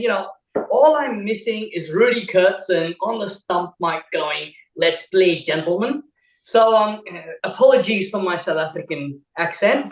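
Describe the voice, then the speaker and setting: A man born in India who grew up in Australia, speaking with an Australian accent as co-host of a casual chat, animated and teasing. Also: sits high, reverberant, recorded from a distance, low-quality recording. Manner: animated, teasing, repeats words when restarting.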